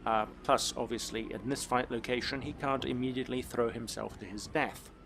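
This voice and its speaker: Wry gravelly-voiced man narrating gameplay. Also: medium pitch, brisk, noisy background. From South Africa. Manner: dry, wry asides, gets loud and excited during fights. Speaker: gaming YouTuber. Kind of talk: casual gameplay commentary.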